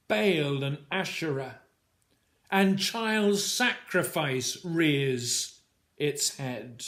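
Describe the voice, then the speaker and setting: A man with a slightly high-pitched voice recites a narrative scripture poem. He takes it very slowly, breaking it into short, weighted phrases with deliberate pauses between them. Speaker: poet reading own work. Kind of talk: dramatic poetry recitation of a Bible story.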